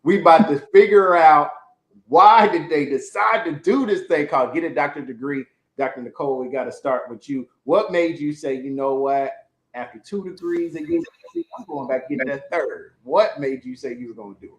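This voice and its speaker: A man's voice: a little high, a little fast. A middle-aged man hosting an interview show over a video call, hyped and casual with slang. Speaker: host. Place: home room. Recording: roomy video call audio.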